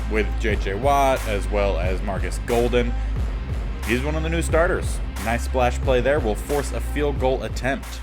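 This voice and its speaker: A man with a slightly raspy voice giving casual gameplay commentary as the host of a gaming series. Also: mid-range voice.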